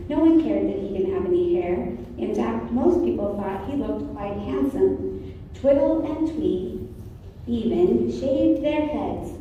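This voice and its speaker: Echoey, very mellow female storybook narrator. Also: mid-range voice. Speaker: narrator in a class readers' theater play. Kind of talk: scripted storybook narration read aloud. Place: large echoey room, distant microphone. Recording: muffled, echoey hall from far off, noisy.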